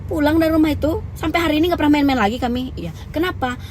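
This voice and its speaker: Young woman, raised pitch, chatty, blunt, emphatic, candid storytelling. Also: rapid-fire, a little mellow, a little hiss or hum. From Lampung, Indonesia. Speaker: vlogger.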